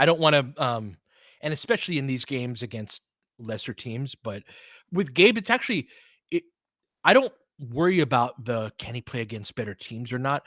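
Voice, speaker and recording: A man calling basketball play-by-play with quick, excitable calls and chatty asides, on a close mic at home. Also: mid-range voice, no echo. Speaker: play-by-play commentator. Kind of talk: fan-made basketball play-by-play commentary.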